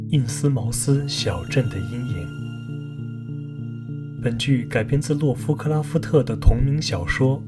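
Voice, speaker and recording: A man announcing a radio drama in a scripted introduction. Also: mid-range voice.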